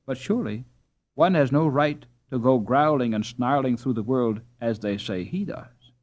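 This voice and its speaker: A man's voice: mid-range voice, normal speed, a little mellow, close to an everyday voice. A man voicing a proud, frank, well-to-do teenage boy in a storybook, speaking with emphasis. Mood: defensive.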